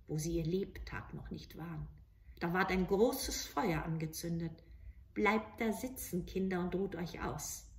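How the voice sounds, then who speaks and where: A woman's voice: on the low side, reverberant. A woman in her forties telling a children's fairy tale expressively, recorded in a room at home. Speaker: storyteller. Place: small living room with bookshelves, indoors.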